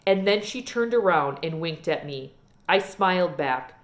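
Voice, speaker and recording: A woman narrating a children's novel aloud as an expressive storyteller. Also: lowish voice, measured pace.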